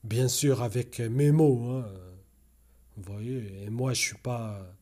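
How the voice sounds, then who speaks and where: A slow-talking man with a dark, mellow voice speaks French as a second language in a casual monologue to his viewers. He rambles, repeats himself and keeps stalling on fillers while he searches for words. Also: medium pitch, steady tone. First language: not French. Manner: rambling, repeats "vous voyez" often; hesitant, many fillers and restarts. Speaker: YouTuber.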